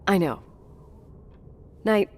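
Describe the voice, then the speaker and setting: An actress playing a guarded, strong-willed young American woman with a dry manner in a naturalistic video game. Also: drawn-out words. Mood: tired, wry. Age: young adult.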